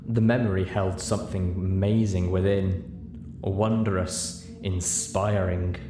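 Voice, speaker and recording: A man reading a story aloud as narrator, in an expressive scripted style. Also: low voice, takes it slowly. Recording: roomy, clearly noisy home recording.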